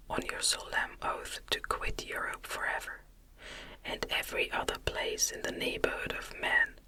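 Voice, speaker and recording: A woman voicing a tormented, solemn man from a gothic storybook in a breathy, imploring whisper. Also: natural voice, low-quality recording. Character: tormented, despairing man, gothic novel narrator.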